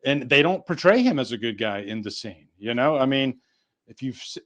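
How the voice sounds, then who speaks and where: A man's voice: medium pitch, animated, normal speed, a bit bright. A man hosting a podcast, chatting casually and conversationally with his co-hosts. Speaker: podcast host. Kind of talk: casual chat.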